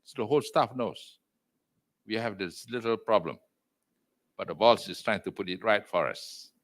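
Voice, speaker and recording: An older man with a Malaysian accent talking as an interview guest, loud and emphatic, dropping in Malay words and leaping to high exclamations. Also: normal speed. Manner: mixes in Malay words and phrases. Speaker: entrepreneur and executive chairman, interview guest. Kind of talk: interview over video call.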